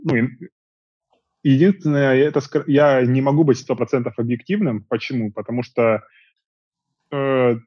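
A man's voice: mid-range voice, normal speed, long pauses, slightly rough, no room sound. Guy on a video call from home, earbuds, dead dry and kinda muffled, easygoing rambly chat. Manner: relaxed, talks in long rambling stretches with fillers. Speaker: interview guest. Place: small room at home.

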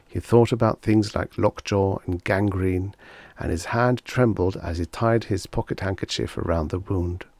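A man with a fairly deep voice reads fiction as an audiobook narrator. His delivery is measured and expressive. The recording is dry, free of room sound. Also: dry recording. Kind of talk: scripted story narration.

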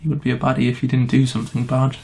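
A man's voice: mid-range voice, flat delivery, rapid-fire, darkish tone. A man chatting casually to camera in a wry, self-deprecating way. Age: 20s. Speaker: amateur pantomime performer. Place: small room indoors. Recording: clearly noisy room sound.

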